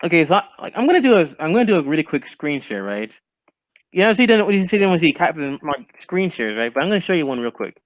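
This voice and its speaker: A man's voice: a little high, sing-song, fast talker, no echo, rough recording. A young man chatting casually and with strong opinions as a stream co-host, full of "like" fillers. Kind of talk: casual chat over a call.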